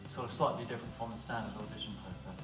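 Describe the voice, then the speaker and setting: A man's voice: fairly low pitch, steady tone, racing. A man, an actor, informally presenting to and questioning his group of castmates. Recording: roomy, distant mic, faint music.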